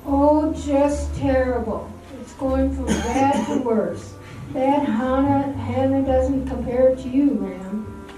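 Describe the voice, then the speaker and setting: Lady playing a gossipy fawning assistant gal, slow talking, real echoey and far off, radio play. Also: high voice. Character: gossipy, fawning female assistant reporting by telephone. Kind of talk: amateur radio drama acting. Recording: distant, very echoey hall, music behind.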